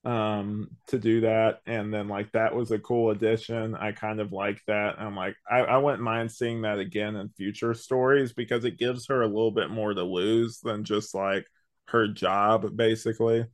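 Male podcast co-host, deeper voice, loose conversational delivery, opinionated and wandering. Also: flat delivery, moderate pace. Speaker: podcast co-host reviewing an audio drama. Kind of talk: casual chat.

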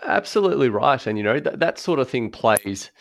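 A man explaining accessible design in a relaxed podcast conversation, thoughtful, with frequent ums and restarts. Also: mid-range voice, fairly quick, vocal fry, dry recording, clean studio sound. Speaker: guest expert on accessible design.

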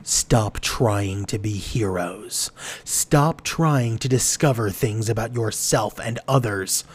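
A man voicing a menacing, anonymous threat in a slow, emphatic way, as an audiobook dramatic reading. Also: fairly low pitch, slightly rough.

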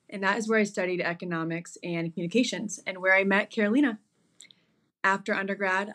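A woman's voice: lowish voice, a bit bright. Chatty Florida-born woman in her thirties. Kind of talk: casual interview talk.

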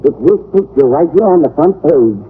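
An actress playing a fussy, nosy older landlady, motherly and excitable, in an old radio sitcom. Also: low voice, normal speed, breathy edge. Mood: alarmed, dramatic. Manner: motherly, fretful chatter. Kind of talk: 1940s-50s radio sitcom acting. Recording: tinny old-time radio recording.

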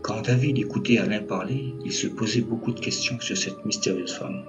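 A man narrating a scripted audio drama as a storyteller, in measured short phrases. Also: lowish voice, highs cut off. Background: music.

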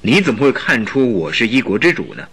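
A man's voice: medium pitch, big pitch swings, brisk, a bit bright, breathy edge, heavily acted. An actor playing a haughty, secretive young king in a radio drama, speaking in a formal, grave and imperious manner. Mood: surprised. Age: young adult. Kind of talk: Mandarin radio drama acting.

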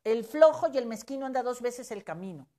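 A woman's voice: on the high side, animated, brisk, warm tone. A Mexican woman in her fifties giving an emphatic, preachy motivational talk on social media, with casual swearing. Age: 50s.

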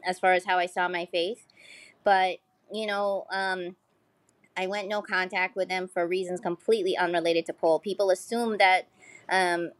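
A woman's voice: on the low side, dry recording. A woman with an American accent speaking candidly in a casual interview, swearing freely and often saying "like". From United States. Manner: frank, casual, sweary, frequent "like". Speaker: podcast guest, pole dancer.

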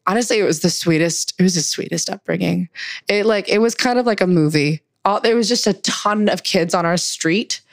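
A young woman chats freely as an interview guest, her speech full of easy fillers. Her voice sits a little low for a woman. The sound is close and dry, with no room around it. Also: a little fast, vocal fry, dry recording. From Canada. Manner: chatty, many fillers like "like" and "um". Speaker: guest, writer. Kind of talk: casual interview conversation.

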